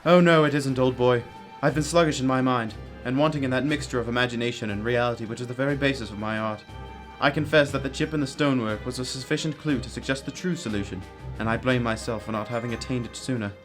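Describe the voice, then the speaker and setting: A man's voice: medium pitch, fast talker, soft background music, lightly acted. A man playing a brilliant, commanding detective in a radio drama, explaining emphatically with old-fashioned courtesy. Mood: self-reproachful. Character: brilliant, commanding, self-critical male detective.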